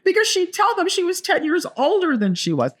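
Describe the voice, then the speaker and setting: A woman's voice: extremely high pitch, sing-song, brisk. A woman in her seventies co-hosting a podcast, chatting casually and telling stories with playful asides. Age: 70s. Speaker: podcast co-host. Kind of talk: casual chat.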